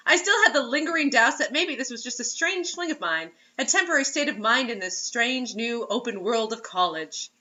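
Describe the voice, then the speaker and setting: A woman's voice: fairly high pitch, expressive, brisk, few pauses, pushed and bright, muffled recording. A woman reading a story aloud as host, in a mocking dramatic way, breaking off for sarcastic casual asides.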